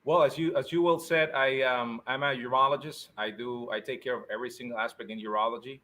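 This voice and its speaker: A man from Spain, a urologist guest answering interview questions conversationally, somewhat halting and repeating words. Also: medium pitch, steady tone, bright, forceful tone. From born in Spain, Puerto Rican and Spanish family. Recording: faint background noise.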